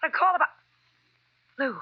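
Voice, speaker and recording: A woman's voice: normal speed, natural voice. An actress playing a shrewd, defiant gangster's girlfriend with an American accent and a pointed 1940s radio drama delivery. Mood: alarmed. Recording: muffled old radio recording.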